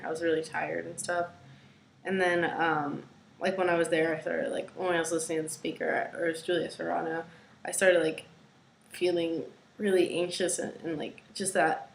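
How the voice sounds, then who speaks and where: A young adult woman with a raspy voice, talking casually to camera in a hesitant, rambling way at home, with many fillers and moments that drop to a near whisper. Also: lowish voice, slight background noise. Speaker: vlogger. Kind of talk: casual personal video diary. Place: at home, indoors. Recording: roomy, echoey room.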